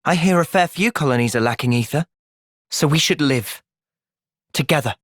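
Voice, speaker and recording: An earnest young British hero in a video game. He is thoughtful, polite and determined, with a very mobile pitch. The sound is dry, with no room on it. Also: lively intonation, normal speed, fairly bright tone, no room sound. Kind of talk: video game cutscene dialogue.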